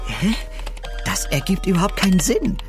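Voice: mid-range voice, big pitch swings, normal speed, music in the background, close to an everyday voice. An actor playing a panicked crew member at a Mars base, sounding agitated and disbelieving.

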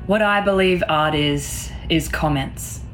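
A woman's voice: sits low, slow pace, faint music behind, rough recording. A woman reading a quotation aloud.

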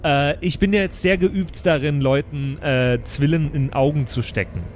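A man's voice: fairly high pitch, moderate pace, darkish tone, slight background noise. A man chatting casually and bantering as a player in a tabletop game.

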